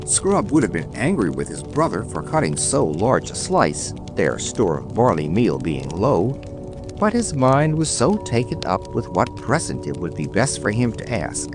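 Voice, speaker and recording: A man's voice: fairly low pitch, huge pitch swings, unhurried. A man narrating a scripted bedtime fairy tale dramatically as a storyteller. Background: background music.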